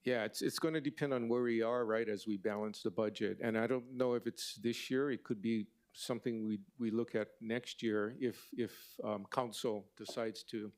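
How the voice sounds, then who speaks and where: A man from city finance staff answering council questions in a halting way that repeats words as he goes. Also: lowish voice, fairly even intonation, a little mellow.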